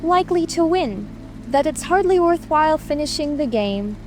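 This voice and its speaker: A woman's voice: sits high, expressive, normal speed, music in the background. A woman playing an earnest, curious and prim young girl in a dramatic audiobook reading. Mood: polite, matter-of-fact. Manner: prim, plaintive.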